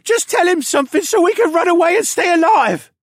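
A man's voice: very high-pitched, animated, brisk, fairly bright tone, no echo, clean studio sound, pitched above the performer's own voice, full character voice. A man voicing a sniveling, cowardly captured soldier who whines and pleads in a panicky way, in an audiobook story. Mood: desperate pleading. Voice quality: whining.

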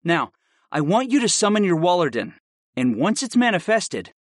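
Man playing a firm, animated professor. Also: high-pitched, normal speed, no room sound, studio quality, voice pushed up. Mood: instructing. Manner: instructive, firm. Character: firm male professor of summoning, stern but caring. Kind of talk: audiobook character acting.

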